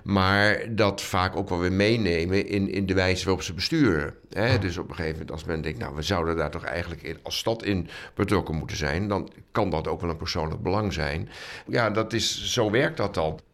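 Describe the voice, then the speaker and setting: A man's voice: low-pitched, normal speed, a bit bright. A man speaking as an interviewed historian, explaining conversationally with frequent rephrasings and little tag questions.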